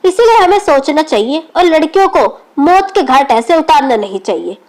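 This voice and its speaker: A woman's voice: high-pitched, expressive, normal speed, a little mellow. A woman reads a scripted, emotional moral story as its narrator.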